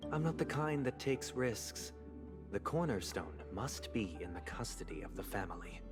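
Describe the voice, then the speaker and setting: An actor playing a composed, menacing, high-ranking gentleman in a video game, speaking in a measured, formal, condescending way. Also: expressive, close to an everyday voice. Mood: quietly menacing. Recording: game dialogue with music underneath.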